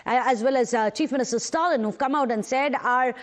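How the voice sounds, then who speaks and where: A woman, anchoring live TV news in a brisk, animated way. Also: high voice. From India.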